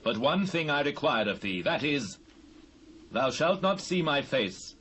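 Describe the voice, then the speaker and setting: A British man playing a measured, stern biblical leader in a dramatised scripture reading. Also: on the high side, sing-song, fairly bright tone. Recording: hissy old cassette transfer.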